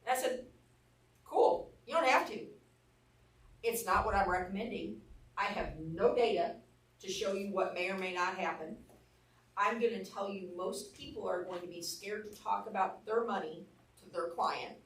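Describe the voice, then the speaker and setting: A woman's voice: mid-range voice, moderate pace. A woman with an Arkansas accent teaching a real estate class, telling candid stories in a blunt, down-to-earth way. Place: training room. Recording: echoey room, mic far off.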